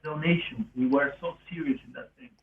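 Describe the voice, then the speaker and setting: A man's voice: on the high side, brisk, slight background noise. Argentinian man on a distant, phone-like line. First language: Spanish. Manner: earnest, rambling, non-native English phrasing. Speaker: podcast speaker. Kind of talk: casual autobiographical storytelling. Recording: roomy, distant, phone-like thin sound.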